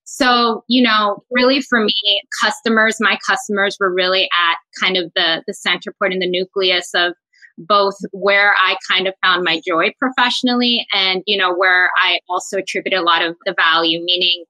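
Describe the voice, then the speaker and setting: A woman's voice: mid-range voice, steady tone, normal speed, sharp tone, dry recording, poor audio. A woman from New York City speaking as a panel guest in a video call discussion, talking conversationally with many fillers.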